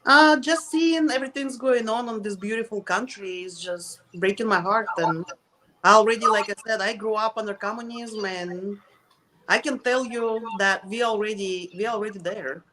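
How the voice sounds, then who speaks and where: A middle-aged woman speaks halting English with a Russian accent, at a middling pitch. She sounds like a guest in a casual interview, pausing to find the right word. Light noise and clicks sit behind her. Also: sharp tone, poor audio. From Russia, Soviet Union. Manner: halting, searching for words in non-native English.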